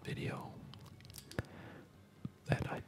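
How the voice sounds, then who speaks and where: A man giving hushed, unhurried and rambling ASMR commentary over a game he is playing, speaking in a whisper. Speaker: gaming video host.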